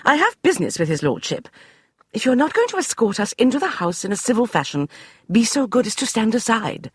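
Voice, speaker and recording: A man voicing an imperious upper-class Regency lady with a cool, crisp, commanding voice that brooks no argument. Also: high-pitched, sing-song, fairly quick, dry recording, studio quality, voice pushed up, heavily acted.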